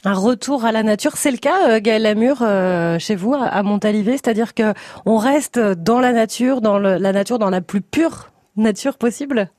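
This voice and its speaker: A woman hosting a radio call-in show, talking in a lively, chatty way and often jumping in with questions. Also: mid-range voice, no room sound, clean studio sound. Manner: lively, chatty, quick to interject. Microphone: studio microphone.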